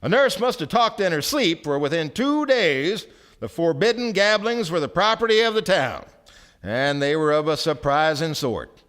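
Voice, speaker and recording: An actor playing a wry storyteller, narrating a radio drama on stage with theatrical emphasis and measured pauses. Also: male voice, on the high side, animated, fairly bright tone. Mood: gossipy, grave. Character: wry, dramatic storyteller of a small-town tale. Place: theatre stage before a live audience.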